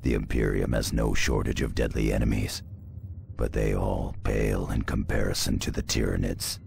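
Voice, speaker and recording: An actor playing a grave, seasoned space marine mentor, solemnly lecturing with a gravelly voice in a video game briefing. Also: male voice, extremely low pitch, little pitch movement, normal speed, darkish tone, slight background noise, exaggerated voice. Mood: grave, warning.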